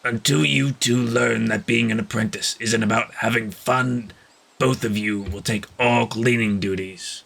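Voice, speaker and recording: A senior cat mentor voiced by a man in an audio drama, stern and disappointed. He reprimands gravely in a creaky, middle-pitched voice. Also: steady tone, a little slow, fairly bright tone. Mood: stern disappointment.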